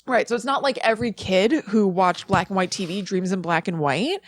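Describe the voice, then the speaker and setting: A woman with an average-pitched voice talks as a podcast co-host. She explains casually and thinks aloud, leaning on informal filler words. The sound is dry, with hardly any room in it. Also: brisk, no room sound. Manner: informal, filler-heavy, musing. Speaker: podcast co-host presenting research.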